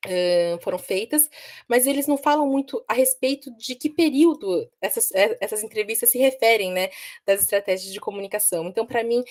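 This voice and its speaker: A woman's voice: a little high, a little mellow. A Brazilian woman giving informal academic commentary, often repeating words as she goes. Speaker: research discussant.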